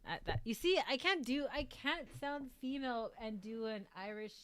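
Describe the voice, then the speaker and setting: Rambling Texan woman with smooth voice. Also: fairly high pitch, moderate pace, a bit bright. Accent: Mexican-American Texan. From South Texas, US. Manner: rambling, leans heavily on "like" and "um". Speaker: streamer. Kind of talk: casual chat reading viewer comments.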